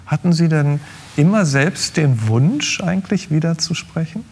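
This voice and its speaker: A man's voice: on the high side, animated, measured pace, a little mellow, a little hiss or hum. A middle-aged man hosting a talk show, asking questions in a conversational style. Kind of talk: conversational interviewing. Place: large TV studio.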